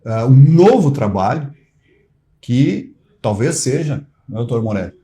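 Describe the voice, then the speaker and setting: A man's voice: mid-range voice, expressive, a little slow, dark tone. A man, a guest on a talk show, explaining things with frequent fillers and restarts and growing emphatic. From Brazil. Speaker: society treasurer, guest.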